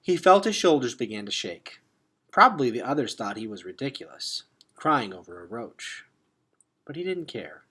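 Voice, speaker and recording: Husky-voiced man reading a storybook aloud. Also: medium pitch, sing-song, normal speed, pushed and bright. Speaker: reader of a children's novel aloud. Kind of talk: expressive storybook read-aloud.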